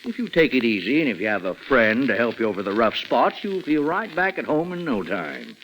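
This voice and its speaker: A folksy American ex-police chief in a 1940s radio play. He coaxes and persuades in a mid-pitched, very expressive voice, over faint recording noise. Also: brisk, rough recording. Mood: coaxing. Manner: folksy, persuasive, hesitant lead-ins. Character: folksy, coaxing small-town ex-police chief, adult man. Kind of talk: 1940s radio drama acting.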